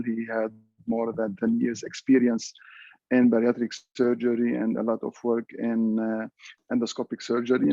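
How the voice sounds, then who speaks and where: A man's voice: medium pitch, vocal fry, dark tone, dry recording, highs cut off. A man chairing an online symposium session, introducing the next speaker with frequent hesitant fillers.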